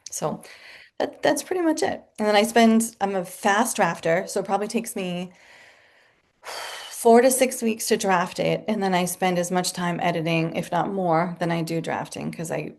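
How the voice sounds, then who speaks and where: A woman speaking as a guest author in a casual interview from her home office, chatty and animated and laughing often. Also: mid-range voice, gravelly.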